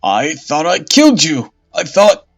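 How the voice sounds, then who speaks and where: A man's voice: sing-song, measured pace, fairly bright tone, full character voice. A man voicing a cowardly old male bishop who shrieks, stammers and begs in terror. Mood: panicked. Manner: shrill, stammering, begging. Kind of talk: hobby voice acting of a dark fantasy scene. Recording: dull lo-fi audio with faint music.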